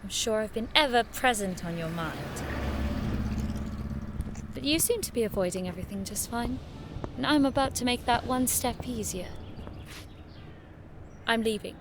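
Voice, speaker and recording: A woman's voice: a little high, expressive, moderate pace, long pauses, background noise. An actress playing a sarcastic, fiery young noblewoman in an audio drama, with arch, biting, formal wit. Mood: wounded, brisk. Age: young adult.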